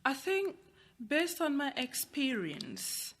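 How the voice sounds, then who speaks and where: A woman's voice: high voice, wildly swooping pitch, slow, a bit bright. A middle-aged woman with a Ugandan accent, explaining her work as an interview guest. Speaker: guest, anti-trafficking worker.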